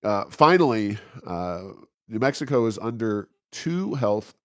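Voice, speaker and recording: A man hosting an informal political commentary podcast, speaking in a halting, stammering way. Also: fairly low pitch, big pitch swings, dry recording, studio quality. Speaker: podcast host.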